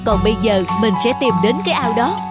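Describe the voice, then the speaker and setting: A humble young peasant from a cartoon fairy tale speaks over clear music , acted by a woman. He is polite and honest, with a high voice and very lively intonation. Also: fast talker, natural voice. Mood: earnest. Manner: polite, deferential. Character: meek, honest young peasant man, hard-working. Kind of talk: children's folk-tale cartoon dubbing. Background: background music.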